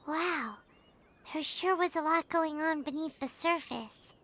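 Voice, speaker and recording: A woman voicing a small, chirpy fairy companion girl in a game, with a high, bubbly voice. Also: takes it slowly, pushed and bright, a little hiss or hum, full character voice. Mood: awed. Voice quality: high, bubbly, refers to herself by name. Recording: studio game dialogue with background music.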